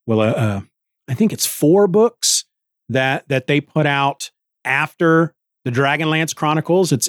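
A man's voice: mid-range voice, no echo. Middle-aged man podcasting, dry close sound. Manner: rambling, conversational, thinking aloud. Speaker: podcast host. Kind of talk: casual solo book review chat.

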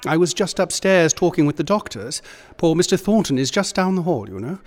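A man playing a steady, kindly titled gentleman with a measured, authoritative manner in a radio drama. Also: on the high side. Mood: grave. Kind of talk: theatrical radio drama acting. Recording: music under the voice.

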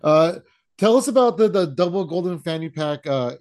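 A man's voice: on the high side, expressive, normal speed, a little mellow. A man hosting a wrestling podcast, asking questions in a casual, enthusiastic way with lots of "like" and "man". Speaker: podcast host.

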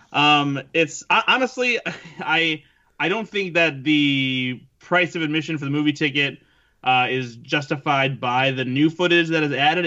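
A man's voice: a little high, pushed and bright, lo-fi sound. A man chatting casually as a podcast co-host and entertainment journalist, wry and self-deprecating. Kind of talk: casual chat.

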